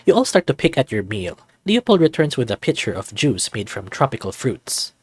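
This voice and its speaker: A man narrates a visual novel from a script. His voice is mid-pitched and somewhat husky, and the recording is dry and close. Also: very animated, normal speed, dry recording. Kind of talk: scripted second-person story narration.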